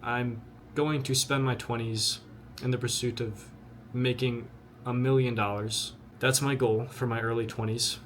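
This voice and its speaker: A young man of twenty talks to the camera with blunt, earnest conviction, often repeating words as he pushes his point. His voice sits at an ordinary middle pitch. A faint hum of ambience runs behind him. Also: fairly even intonation, unhurried, fairly bright tone. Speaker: vlogger. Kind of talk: motivational talk to camera.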